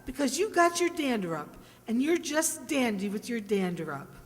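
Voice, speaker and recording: A woman playing a scheming, jealous, doting wife in an old-time radio sitcom, theatrically expressive. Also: a little high, normal speed. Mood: adoring. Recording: echoey hall.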